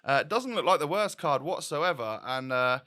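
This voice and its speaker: A man's voice: on the high side, lively intonation, normal speed, bright, forceful tone. A man hosting a gaming video with casual, chatty, rambling and self-deprecating commentary.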